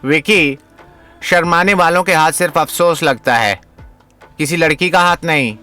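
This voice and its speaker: Man acting confident instructor, sharp higher voice, commanding, dry sound, audio drama. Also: a little slow. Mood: instructive. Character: Rishiraj, confident, stern male coach of intimacy. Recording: music behind the voice.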